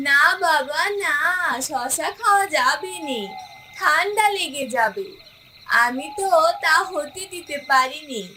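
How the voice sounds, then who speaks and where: Cartoon fox bride: young, whiny, scolding, slow-paced delivery with extremely high pitch. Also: female voice, pushed and bright, a little hiss or hum, heavily acted, poor audio. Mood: fussy refusal. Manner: indignant, whining, mishears and scolds. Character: hard-of-hearing, quarrelsome young fox bride, easily offended. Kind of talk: exaggerated cartoon voice acting.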